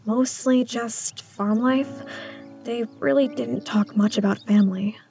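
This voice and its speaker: A woman playing a reserved, uneasy small-town schoolteacher in an audio drama, speaking in a hesitant, hushed way. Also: fairly high pitch, steady tone, unhurried, creaky. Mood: uneasy, guarded. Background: music.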